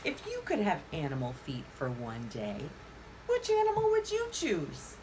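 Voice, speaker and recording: A woman hosting a story time for young children, giving simple instructions and asking encouraging questions in a deliberate, sing-song way. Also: on the low side, wildly swooping pitch, darkish tone, slight background noise, poor audio.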